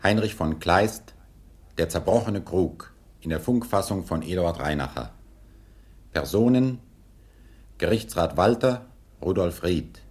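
A man's voice: low-pitched, expressive, measured pace, pauses often, darkish tone. A man formally announcing scripted credits for a radio production.

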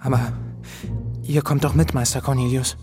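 A man's voice: mid-range voice, level tone, moderate pace, close to an everyday voice. A man playing a bewildered young prince over music. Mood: pleading. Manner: earnest, disbelieving. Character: bewildered, questioning young prince, heir to the throne. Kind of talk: fantasy audio drama acting. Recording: music under the voice.

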